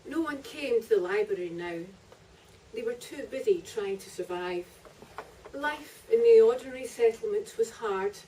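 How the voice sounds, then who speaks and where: A woman's voice: a little high, big pitch swings, moderate pace, warm tone, a little hiss or hum. A woman author reading narrative prose from her own book at a live event, picked up by a distant microphone. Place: live festival reading in a library venue.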